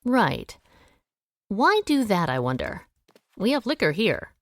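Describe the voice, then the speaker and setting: Lively shrewd woman sleuth. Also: mid-range voice, dry recording, studio quality. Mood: brisk, probing. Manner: brisk, wry, deductive. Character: shrewd, take-charge amateur woman sleuth. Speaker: lead actor in an audio drama. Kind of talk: audio drama acting, detective dialogue.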